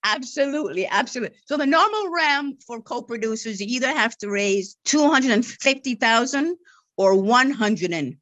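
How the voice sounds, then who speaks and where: A woman's voice: fairly high pitch, expressive, normal speed, fairly bright tone, dry recording. A woman guest who stutters, explaining things patiently with many repeated words and tag questions. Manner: stutters, repeats words, says "right?" often. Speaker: marketing and media relations director, podcast guest. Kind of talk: interview answers.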